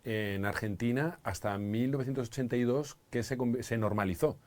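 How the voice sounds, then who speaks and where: A man hosting a casual podcast as a Spanish teacher, asking lively, curious questions. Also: mid-range voice, fairly quick, dry recording.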